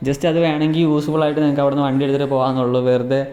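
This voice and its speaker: A man's voice: mid-range voice, little pitch movement, rapid-fire, mellow. A man giving a casual, chatty, rambling gaming tips voice-over. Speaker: gaming video creator. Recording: roomy echo with music underneath. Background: music.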